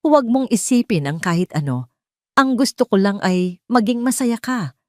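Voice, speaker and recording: A woman acts out a rich, serious man in an audio drama. He sounds calm, assured and protective with a controlling edge, recorded dry. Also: a little high, normal speed, dry recording, voice pushed up, natural voice. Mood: soothing, controlling.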